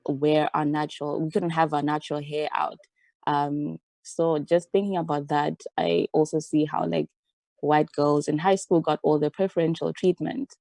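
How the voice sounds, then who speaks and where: A young woman softly and thoughtfully sharing her personal experience in a discussion over a video call. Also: low-pitched, creaky, dry recording. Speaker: discussion participant.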